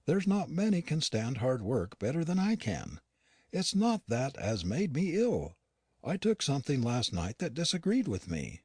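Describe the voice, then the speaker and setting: A man's voice: medium pitch, wildly swooping pitch, measured pace, darkish tone, slightly rough, no echo, clean studio sound, voice pushed up, full character voice. A man doing a mournful old Yorkshire housekeeper woman. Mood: mournful pleading. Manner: homely dialect speech.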